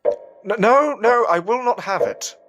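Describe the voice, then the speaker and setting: A man playing an earnest, anxious upper-class gentleman learning magic in an audio drama, speaking politely and formally with a hesitant stammer. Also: very high-pitched, animated, a little slow, lo-fi sound, heavily acted. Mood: embarrassed, proud. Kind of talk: audio drama acting with narrated reflections. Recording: voice over prominent background music.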